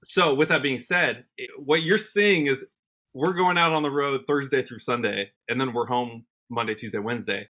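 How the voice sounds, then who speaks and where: A man's voice: mid-range voice, normal speed, pushed and bright. An American man giving a casual podcast interview as a musician guest, talking reflectively and thinking aloud as he goes. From Washington state, United States. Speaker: guest, country singer-songwriter.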